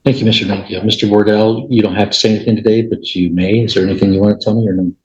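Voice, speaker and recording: A man's voice: on the low side, fairly even intonation, racing, a little mellow, muffled recording. A man speaking as a judge, addressing people formally and briefly in court. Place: indoor courtroom.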